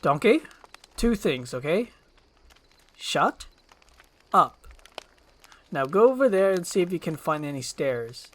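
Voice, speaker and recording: A man voicing a gruff, impatient male ogre with a brusque, mocking manner, in a storybook screenplay reading. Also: a little high, big pitch swings, slow, pauses often, fairly bright tone, heavily acted. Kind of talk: storybook screenplay read with character voices.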